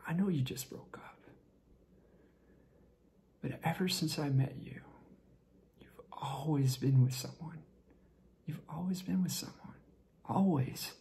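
A man performing a dramatic monologue as a tipsy, lovesick young man confessing to a friend, halting and repetitive, with a creaky voice that often drops toward a whisper. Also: fairly high pitch, dark tone. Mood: pleading, hesitant. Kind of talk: dramatic stage monologue rehearsal.

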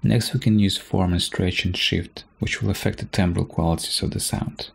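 A man narrating a software tutorial from a script, as a presenter explaining step by step. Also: deep voice, steady tone, normal speed, vocal fry, music in the background.

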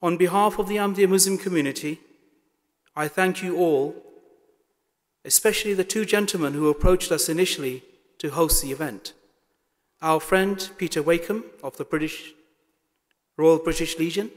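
A middle-aged man giving a formal closing address at a podium in a large hall, measured and thankful. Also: fairly high pitch. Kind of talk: formal speech. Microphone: podium microphone. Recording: long hall reverb.

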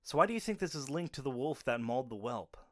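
A man plays a level-headed werewolf in an audio drama. His pitch is middling, and he talks in a steady, reasoning way as a practical member of the pack. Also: fast talker, lightly acted. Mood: analytical.

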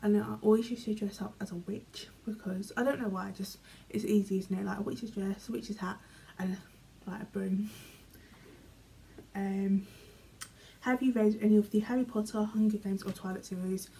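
A young British woman vlogging from her bedroom, reading questions aloud and answering in a chatty, rambling way, often trailing off quietly. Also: mid-range voice, fairly even intonation, normal speed, gravelly, slight background noise, low-quality recording. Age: young adult. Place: small bedroom, indoors. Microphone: camera in front, medium framing.

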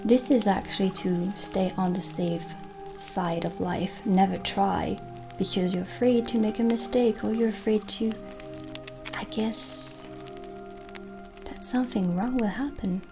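A woman in her thirties playing a kindly witch shopkeeper in a gentle, soothing, soft-spoken ASMR roleplay. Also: medium pitch, fairly even intonation, unhurried. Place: small candlelit indoor set. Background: soft background music.

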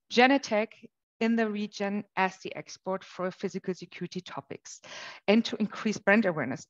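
A woman from Germany with a German accent, giving a webinar presentation in a measured way with frequent pauses. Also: medium pitch, highs cut off. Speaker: marketing manager presenting. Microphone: webcam on a video call.

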